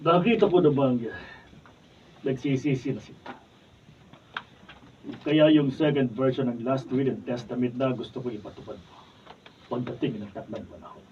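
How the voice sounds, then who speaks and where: A man's voice: medium pitch, normal speed, long pauses, darkish tone, a little hiss or hum, close to an everyday voice. An actor playing a hurt old father who reproaches his children, in a radio drama. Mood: relieved, quiet. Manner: sorrowful, reproachful pleading. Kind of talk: 1970s-style radio drama acting. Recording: muffled studio from far off.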